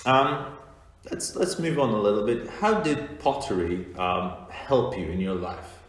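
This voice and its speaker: A man hosting a podcast interview, asking questions in a slow, halting way with small laughs. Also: fairly low pitch, sing-song. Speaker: podcast host. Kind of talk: casual interview. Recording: echoey room with faint noise.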